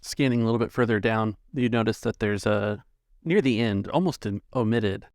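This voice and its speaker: A man working as game master, narrating a tabletop story in a halting, measured way. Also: fairly low pitch, a little mellow, no room sound, studio quality.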